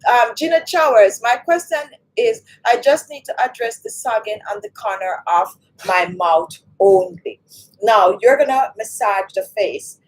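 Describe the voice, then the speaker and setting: Husky-voiced woman chatting live. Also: mid-range voice, expressive, measured pace, poor audio. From Jamaica. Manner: chatty, talks straight to the chat, reads viewer comments aloud. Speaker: beauty channel host. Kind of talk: live-stream chat with viewers.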